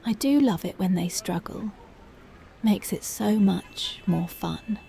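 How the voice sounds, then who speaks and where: A woman playing a strict, dominant female police officer in an ASMR roleplay, slow, taunting and intimate, often slipping into whispers. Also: mid-range voice, expressive, vocal fry, warm tone, slight background noise. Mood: taunting, menacing.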